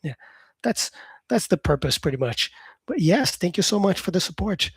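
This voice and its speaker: A man's voice: high-pitched, very animated, moderate pace, vocal fry, husky, no room sound. A man whose first language is Portuguese, an English teacher chatting on a live stream and answering viewer comments.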